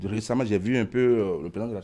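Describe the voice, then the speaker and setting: A middle-aged man with an African French accent, a guest on a talk show, speaking haltingly and repeating words as he searches for them. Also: on the low side, dark tone.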